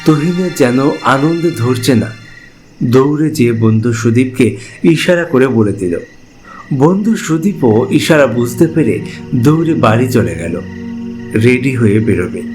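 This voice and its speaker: A man's voice: mid-range voice, animated, moderate pace. A man narrating a scripted ghost story as a storyteller. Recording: clear music bed under voice.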